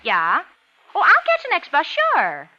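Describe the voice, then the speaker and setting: Shrill nagging wife, very animated. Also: female voice, measured pace, full character voice. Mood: fussy, flustered. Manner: scolding, fretful. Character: nagging, fussy, exasperated wife. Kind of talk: 1940s radio drama acting. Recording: dull old radio recording.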